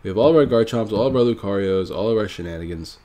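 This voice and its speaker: Low, nasal-sounding sick young man. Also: quick pace, warm tone. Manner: casual, thinks aloud, laughs often. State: sick, stuffy nose. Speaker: card game streamer. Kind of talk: live gameplay commentary.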